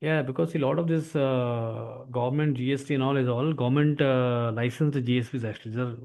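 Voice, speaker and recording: A man with an Indian accent explaining as a software trainer in an online demo class, with repetitive phrasing. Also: mid-range voice, fairly even intonation, brisk, gravelly. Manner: repetitive phrasing, often adds "actually".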